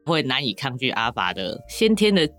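A woman's voice: a bass voice, sing-song, moderate pace, a bit bright, faint music behind. A woman with a Taiwanese Mandarin accent explaining things casually as a podcast guest, with wry, rambling humour.

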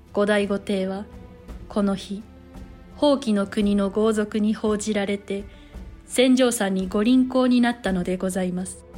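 An actress plays a dignified samurai-era noblewoman telling history. Her delivery is slow, formal and courteous, at a medium pitch, with soft music underneath. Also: natural voice. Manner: courteous, formal, measured storytelling. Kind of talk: scripted narration in historical audio drama.

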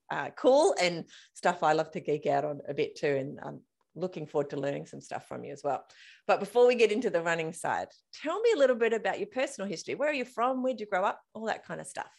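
An Australian woman hosts a podcast interview with a slightly low voice. She talks in a chatty, bubbly way, laughing often as she goes. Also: animated, fast talker, vocal fry. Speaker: podcast host. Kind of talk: casual interview chat.

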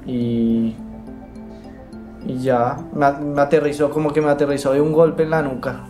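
A man from Bogotá with a Colombian accent, telling his story haltingly with many pauses, fillers and restarts. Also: on the high side, flat delivery, over music. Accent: Bogotá Colombian Spanish. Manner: hesitant, many fillers and restarts, soft-spoken. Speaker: interviewee, migrant. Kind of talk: halting personal account.

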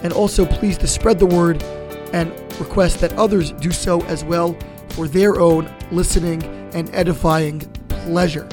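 Raspy hoarse male podcast host. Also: fairly high pitch, steady tone, measured pace. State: strained, hoarse voice. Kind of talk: scripted podcast intro and interview. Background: music.

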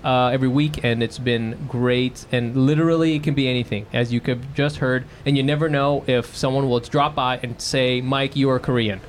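A man's voice: mid-range voice, steady tone, normal speed, few pauses, slight background noise. A man with an American accent hosting a podcast in casual comic banter, joking and swearing lightly. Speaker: podcast host.

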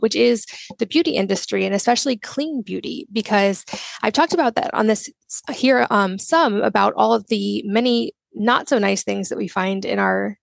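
A woman's voice: mid-range voice, creaky, dry recording. A woman hosting a health podcast, introducing and interviewing her guest with upbeat enthusiasm. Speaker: podcast host.

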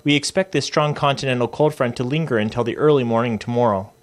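A man's voice: mid-range voice, quick pace. A man giving a weather forecast in a matter-of-fact way for a news interview. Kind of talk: interview sound bite.

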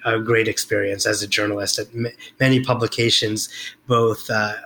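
A middle-aged man talks through his career as an interview guest. His voice sits a bit low yet sounds bright and forceful. He pauses often, with fillers and restarts. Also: level tone, creaky, a little hiss or hum, rough recording. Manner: hesitant, many fillers and restarts. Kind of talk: reflective interview talk.

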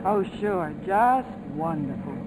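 An actress playing a guarded, defiant secretary in a 1940s radio drama, speaking crisply and insistently. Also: female voice, on the low side, expressive, slow. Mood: wry, guarded. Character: defiant, guarded young secretary with nerves of steel. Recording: noisy old 1940s radio recording, engine drone.

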